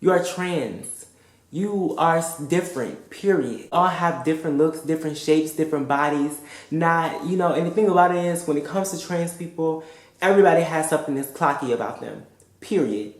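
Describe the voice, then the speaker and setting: A woman's voice: deep voice, moderate pace, darkish tone. A woman giving frank, emphatic advice straight to her viewers, swearing freely and repeating her pleas for emphasis. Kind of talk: casual direct-to-camera advice vlog. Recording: echoey room.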